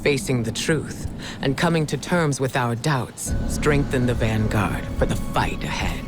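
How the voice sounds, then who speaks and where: A man playing a reflective narrator of a war chronicle in a video game, measured and solemn. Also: mid-range voice, natural voice. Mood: solemn, resolute. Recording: loud music over narration.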